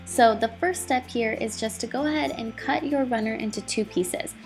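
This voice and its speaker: A woman's voice: on the high side, moderate pace, echoey room, soft background music. A young woman vlogging a chatty, encouraging DIY tutorial at home, her voice a little scratchy from a cold. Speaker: mom vlogger. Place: living room at home.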